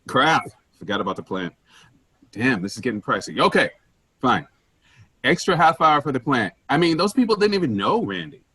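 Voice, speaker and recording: A man playing a pushy, penny-pinching office boss in a staged dark comedy, blunt and self-important as he lectures. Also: medium pitch, big pitch swings, normal speed, slightly rough, dry recording, exaggerated voice. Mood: impatient.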